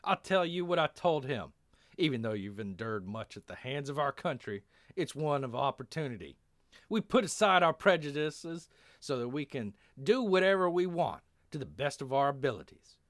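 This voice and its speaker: A man playing an earnest army lieutenant, speaking with a Texan accent in a forceful, folksy speechifying way. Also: a little high, very animated, normal speed, no echo, clean studio sound. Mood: earnest, rousing.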